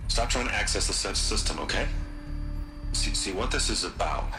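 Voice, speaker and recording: A deep-voiced tech magnate in a game cutscene. He is self-righteous and coming unhinged, pleading in halting, stammering phrases. Music plays strongly behind him. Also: expressive. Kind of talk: dramatic video game cutscene acting.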